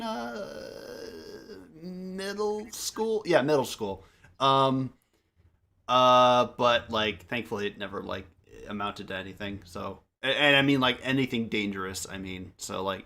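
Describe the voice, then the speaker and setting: A man chatting casually as a podcast member, often halting and rephrasing himself as he goes. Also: medium pitch, sharp tone. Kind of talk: casual group chat.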